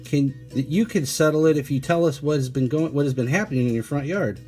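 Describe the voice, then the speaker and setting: A man's voice: a little high, fairly quick, darkish tone, faint music behind, close to an everyday voice. A man voicing a polite, curious young lady in a children's storybook, earnest and questioning. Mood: eager.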